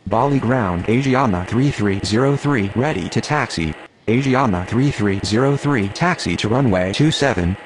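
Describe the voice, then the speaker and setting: A man's voice: fairly low pitch, expressive, normal speed, slight background noise, close to an everyday voice. A man voicing a neutral, procedural airline pilot or controller in scripted radio phraseology for a game.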